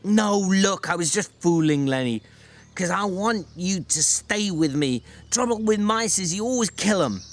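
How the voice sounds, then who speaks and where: A man's voice: sits high, animated, a little slow, pitched above the performer's own voice. A man voicing an exasperated, ranting ranch drifter with a secretly caring streak in a dramatic novel reading. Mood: placating.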